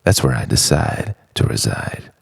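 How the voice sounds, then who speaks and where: A man with an extremely low, creaky voice performs an introspective monologue. He moves slowly through short, halting phrases, with dramatic swings in pitch. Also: big pitch swings, dry recording. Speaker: narrator of own written reflection. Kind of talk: introspective spoken-word monologue.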